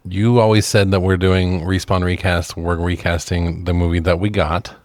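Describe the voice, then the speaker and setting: Male co-host, very deep voice, dry studio-tight sound, laid-back, casual movie talk with swearing. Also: flat delivery, normal speed, a little mellow, no room sound. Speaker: podcast co-host reviewing films. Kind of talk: casual film chat with swearing.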